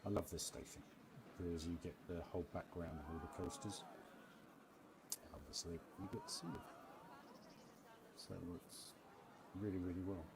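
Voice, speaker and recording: Low-voiced man over loud noise. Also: fairly even intonation, moderate pace, long pauses. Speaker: game streamer, host. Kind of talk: casual walkthrough commentary on a game build. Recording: loud background noise behind voice.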